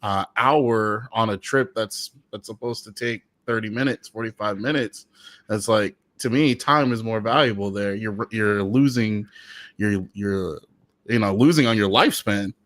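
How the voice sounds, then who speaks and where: Male co-host, even mid voice, explanatory, dry. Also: fairly low pitch, measured pace, no room sound. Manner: explaining, reads viewer comments. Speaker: rideshare podcast co-host. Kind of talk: casual live-stream chat.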